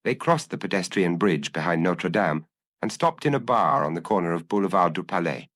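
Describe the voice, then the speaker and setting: A man narrating a detective story as an audiobook, in a measured, literary storytelling manner. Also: low voice, big pitch swings, a bit bright, no echo.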